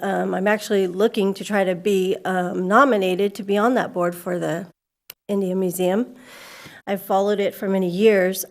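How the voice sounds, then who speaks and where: Middle-aged woman at the podium mic, candid and chatty, gets emphatic, mid pitch. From California. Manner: candid, conversational, grows emphatic. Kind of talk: public comment at a school board meeting. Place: civic center meeting hall.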